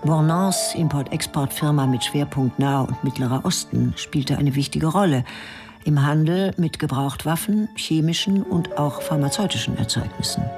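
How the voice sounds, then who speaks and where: A woman's voice: low voice, moderate pace. A woman narrating a radio drama in a terse, clipped, matter-of-fact way. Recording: studio radio play with music.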